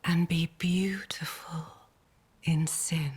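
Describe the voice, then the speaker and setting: A woman playing a solemn, commanding, darkly seductive demon queen in a video game, with a breathy, whispery delivery. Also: low-pitched, steady tone, darkish tone, full character voice. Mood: solemn, ominous, seductive. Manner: slow, solemn, portentous delivery. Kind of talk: video game villain voice lines.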